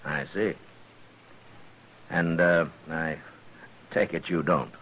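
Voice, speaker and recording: An actor with an American accent playing a gruff, world-weary US Marshal in a 1950s radio western, dry and measured. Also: male voice, low-pitched, fairly even intonation, a bit bright. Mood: stern, dry. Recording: noisy old radio broadcast.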